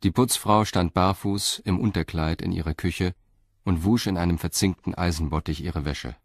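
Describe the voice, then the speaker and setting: A man narrates a radio crime drama in a very deep voice. His delivery is measured and even. The recording is dry and close. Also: no echo.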